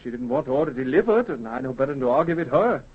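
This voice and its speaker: An actor playing a kindly, nosy small-town grocer in a 1930s radio drama, talkative, folksy and hesitant. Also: male voice, medium pitch, expressive, very fast, husky. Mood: gossipy, sympathetic. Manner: hesitant, folksy, repeats himself. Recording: dull, muffled 1930s radio recording.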